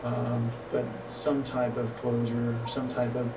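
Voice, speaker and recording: A middle-aged man giving interview answers as an expert, phrasing things haltingly and thoughtfully. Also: mid-range voice, completely flat delivery, reverberant. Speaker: retired law enforcement agent as interviewee. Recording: distant, noisy broadcast monitor feed.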